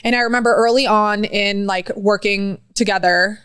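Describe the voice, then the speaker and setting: Young female podcast co-host, animated and chatty, mid pitch, dry. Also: normal speed, smooth, dry recording. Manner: animated, laughs often, quotes herself in raised voice. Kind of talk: casual chat.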